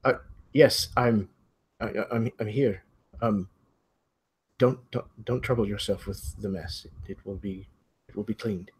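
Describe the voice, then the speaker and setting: A timid gentleman of a mysterious mansion, acted by a man at a gaming table, speaks in a quiet, husky voice. He is shaken and halting, often doubling back on his words. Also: on the low side, fairly even intonation.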